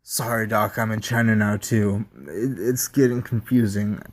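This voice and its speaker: A man voicing an unsettled male test subject who talks slowly and quietly in a dreamy, rambling way. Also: on the low side, steady tone.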